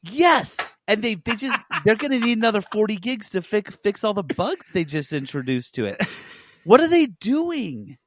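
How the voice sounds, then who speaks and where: A man's voice: sits high, sing-song, normal speed, no echo. A man hosting a casual gaming news podcast, talking in a blunt, opinionated way.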